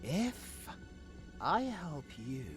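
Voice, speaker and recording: A man with a British accent playing a flirtatious, gleefully wicked undead necromancer in a theatrical, taunting audio roleplay, slipping between breathy whispers and shouts. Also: fairly high pitch, sing-song, very slow, faint music behind, full character voice. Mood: scheming. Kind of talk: ASMR audio roleplay acting.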